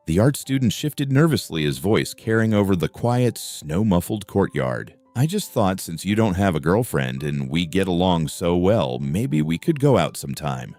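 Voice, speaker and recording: A young man giving scripted first-person story narration in an introspective, wry manner. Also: low-pitched. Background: soft music.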